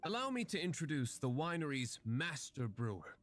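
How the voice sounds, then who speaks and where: An actor playing a courteous, aloof young winery owner in a video game, speaking in a formal, measured, dry way. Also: male voice, a little high, very animated, no room sound, faint music behind.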